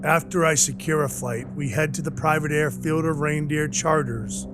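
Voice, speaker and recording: A man playing a gruff, soft-hearted dwarf who works as Santa's helper, narrating his own story in an audio play in a wry, hard-boiled way. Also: fairly high pitch, fairly even intonation, normal speed, background noise, lightly acted. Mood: steady, resolved. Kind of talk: first-person audio play narration and dialogue. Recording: music under the voice. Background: music.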